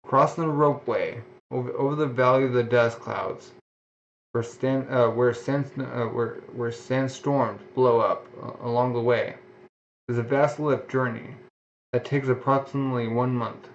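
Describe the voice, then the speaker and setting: A man's voice: medium pitch, steady tone, a little slow, slight background noise. A man casually reading a manga aloud, often stumbling and restarting words. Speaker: reader. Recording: dull lo-fi home recording.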